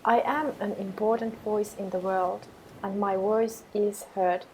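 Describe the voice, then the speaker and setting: Slow, even-voiced woman guiding meditation. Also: medium pitch, a little hiss or hum, poor audio. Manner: calm, unhurried, measured phrasing with pauses. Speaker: meditation and yoga teacher. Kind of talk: guided meditation with repeated affirmations.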